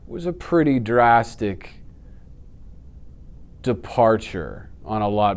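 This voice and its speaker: A man in his thirties chatting casually as an interview guest, telling stories in an animated way and acting out reactions. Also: on the low side, clear, resonant tone. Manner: animated storytelling, acts out reactions and sound effects. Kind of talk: casual chat about films and games. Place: indoor office studio.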